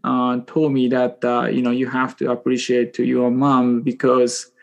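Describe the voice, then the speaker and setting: Japanese-accented man telling his story, halting. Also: medium pitch, monotone, gravelly, dull audio. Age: 40s. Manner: halting, self-deprecating, joking. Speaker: podcast guest. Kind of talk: casual personal storytelling in an interview.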